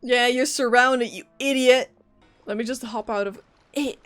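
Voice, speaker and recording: High-voiced woman reacting to a game. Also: a little slow. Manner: reactive, blurts short exclamations. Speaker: game streamer. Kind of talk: casual reaction commentary. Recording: game music behind.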